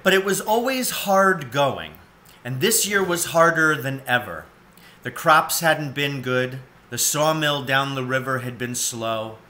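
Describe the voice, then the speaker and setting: Slow, very bright man reading a story. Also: mid-range voice. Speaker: storybook reader. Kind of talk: read-aloud children's story narration. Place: cluttered room at home, indoors.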